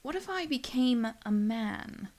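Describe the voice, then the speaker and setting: Woman acting a dramatic, higher-voiced young princess. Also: expressive, slow pace, a little mellow. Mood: eager scheming. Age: young adult. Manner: dramatic, demanding, impulsive. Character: tearful, spoiled young princess, excitable and imperious.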